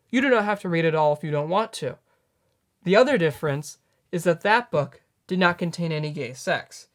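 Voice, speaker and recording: A man in his late teens hosting a news commentary, earnest and halting as he corrects himself. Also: on the high side. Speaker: news host.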